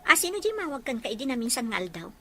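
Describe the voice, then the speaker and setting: A woman's voice: fairly high pitch, big pitch swings, fast talker, bright, forceful tone, soft background music, heavily acted. A young woman with an Ilocano Filipino accent acting a probing yet caring fiancée in an audio drama. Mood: suspicious, insistent. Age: young adult.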